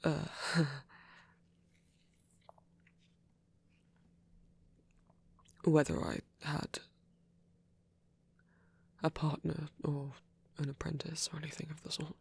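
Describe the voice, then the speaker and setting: Guy doing a gentle lonely old vampire priest for asmr, super gravelly and breathy, halting, close dry sound. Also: fairly high pitch, little pitch movement, no echo, full character voice. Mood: hesitant, embarrassed. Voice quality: breathy, often near-whispered, gravelly. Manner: halting, tender, formal old-fashioned wording. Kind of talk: soft intimate ASMR roleplay.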